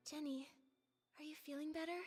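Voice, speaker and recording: A woman plays a young adventurer heroine from a video game. Her voice is high and gentle, and she reasons things through in an earnest, thoughtful way. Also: fairly even intonation, fairly quick, faint music behind, natural voice. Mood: gentle, sympathetic.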